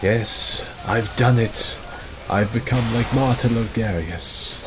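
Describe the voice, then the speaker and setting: A man streaming and chatting casually, rambling and self-deprecating, with a gruff voice. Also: on the low side, slow pace, background noise. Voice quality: gruff, gravelly. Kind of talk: casual chat with viewers.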